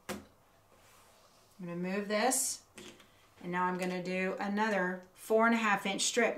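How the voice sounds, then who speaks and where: A woman's voice: medium pitch, fairly bright tone. A middle-aged woman giving a hands-on demonstration as an instructor, explaining methodically step by step. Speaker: quilting instructor. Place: indoor craft studio at a cutting table. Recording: roomy echo, clear background noise.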